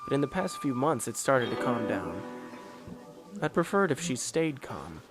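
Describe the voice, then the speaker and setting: A man's voice: mid-range voice, sing-song, moderate pace, natural voice. Composed anime esper boy, voiced by a man, over music. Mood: calm, explanatory. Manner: smooth-talking, composed, explanatory, courteous. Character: calm, polite teenage esper boy explaining cosmic secrets. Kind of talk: amateur anime fan dub acting. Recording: loud music under the voice.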